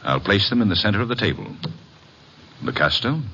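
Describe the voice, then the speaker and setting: An actor playing a suave club owner with formal, precise phrasing, in old-time radio drama style. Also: male voice, fairly low pitch, lively intonation, brisk, bright, forceful tone. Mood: suave, commanding. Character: suave, formal male club owner hosting a card game. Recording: dull, hissy vintage radio recording.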